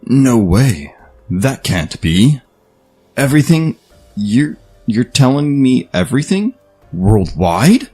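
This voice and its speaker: Man acting a husky, slow, disoriented war leader. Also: mid-range voice, big pitch swings, dark tone, exaggerated voice. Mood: disbelieving. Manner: halting, strained. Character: disoriented male war leader, confused, desperate, intense. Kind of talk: science fiction audio drama acting. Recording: music under the voice.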